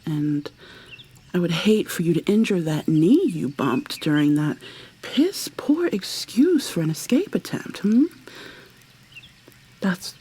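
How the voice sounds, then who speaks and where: A woman playing a tender, possessive kidnapper in an ASMR roleplay, speaking softly and haltingly, often slipping into a breathy whisper as she coaxes. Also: fairly low pitch, big pitch swings, heavily acted. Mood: gently firm. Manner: coaxing, gentle, halting. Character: tender, possessive female kidnapper doting on her captive lover.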